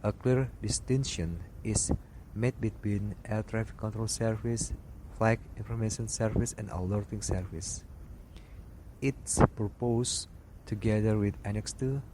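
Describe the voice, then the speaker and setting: Slow halting man reading notes aloud. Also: on the low side, fairly even intonation, background noise. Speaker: aviation instructor. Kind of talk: reading study material aloud.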